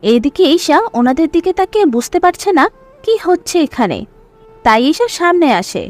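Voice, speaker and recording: High, animated female story narrator. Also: moderate pace, soft background music.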